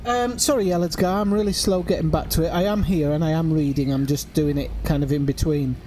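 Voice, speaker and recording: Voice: mid-range voice, a little fast, mellow, a little hiss or hum. A livestream host chatting casually with friends while playing, apologetic and thinking aloud with fillers. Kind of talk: casual gaming chat with friends.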